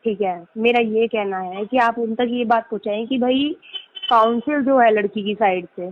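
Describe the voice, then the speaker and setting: A woman's voice: on the high side, moderate pace, narrow, tinny audio, a little hiss or hum. A woman lawyer explaining and persuading insistently on a recorded call, mixing in English legal terms. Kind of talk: persuasive phone conversation. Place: recorded phone or video call.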